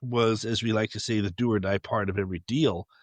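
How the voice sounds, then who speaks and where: A man's voice: lowish voice, steady tone, brisk, dry recording. A man with an American accent, hosting a podcast in a conversational discussion. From United States. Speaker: podcast host.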